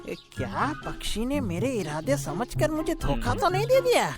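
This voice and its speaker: A woman voicing a sly, greedy male cartoon fox who gloats and cackles theatrically in a drawn-out way. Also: high voice, huge pitch swings, music in the background, exaggerated voice. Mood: suspicious surprise. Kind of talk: cartoon moral story voice acting.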